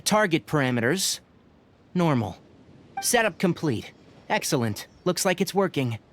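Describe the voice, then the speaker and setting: Casting: matter-of-fact young forest ranger for a game, clipped and explanatory, animated pitch movement. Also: male voice, unhurried, a little hiss or hum. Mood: composed, explaining. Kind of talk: video game voice acting.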